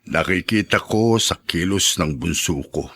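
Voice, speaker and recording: Old sick dad in a radio drama, bright but husky, wounded and heavy. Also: male voice, low-pitched, expressive, a little slow, full character voice. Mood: sad, troubled. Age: older. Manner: emotive, weighty delivery. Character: ailing, aggrieved old father of grown children. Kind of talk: Tagalog radio drama acting.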